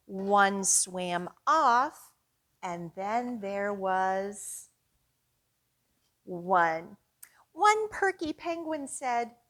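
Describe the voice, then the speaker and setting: A woman leading a storytime for young children, reading expressively and guiding them along in an encouraging, teacherly way. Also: medium pitch. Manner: slow, expressive, encouraging, with clear teacherly guidance. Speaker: children's librarian hosting storytime.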